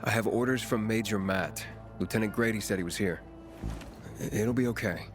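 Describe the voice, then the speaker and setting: Actor as low-voiced, level-headed young male survivor. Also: normal speed, lightly acted. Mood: calm, reassuring. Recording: music playing behind the voice.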